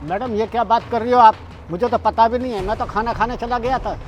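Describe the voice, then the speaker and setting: A man's voice: very high voice, fairly even intonation, a little fast, muffled recording, background noise. A man playing a polite, patient security guard. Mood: pleading. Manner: polite and pleading. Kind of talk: short social drama skit.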